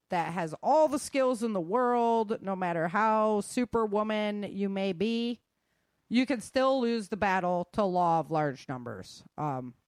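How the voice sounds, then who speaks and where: A woman's voice: medium pitch, dry recording. A woman hosting a talk show, giving casual, emphatic advice straight to her listeners. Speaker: host of a self-defense and survival show.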